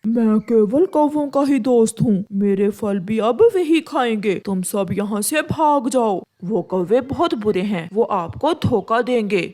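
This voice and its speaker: A woman's voice: fairly high pitch, animated, unhurried, hardly any pauses, mellow. A woman voicing a gullible male fruit tree in a children's cartoon, simple, trusting and eager. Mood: dismissive. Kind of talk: Hindi children's cartoon voice acting.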